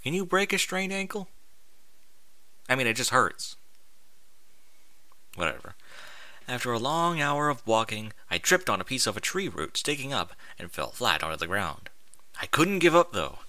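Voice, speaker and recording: Animated, very bright-voiced man reading a story. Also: mid-range voice, moderate pace, long pauses, no room sound. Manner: expressive, informal, sweary interjections. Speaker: fan fiction reader. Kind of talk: animated first-person story narration with ad-libbed asides.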